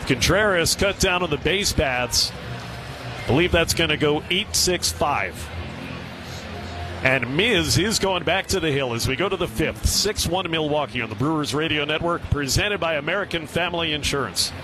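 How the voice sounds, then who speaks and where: A man with a fairly high voice calls a baseball game for radio. His delivery is clipped and descriptive, lifting with the action, and there is clear sound like music behind him. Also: moderate pace. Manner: clipped descriptive calls that rise in excitement on plays. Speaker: baseball play-by-play announcer. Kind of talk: radio sports commentary.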